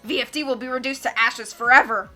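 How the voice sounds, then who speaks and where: A woman voicing a wicked, vain male villain who shouts at children and cackles, reading a storybook aloud over music. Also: fairly high pitch, fairly even intonation, fast. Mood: gloating.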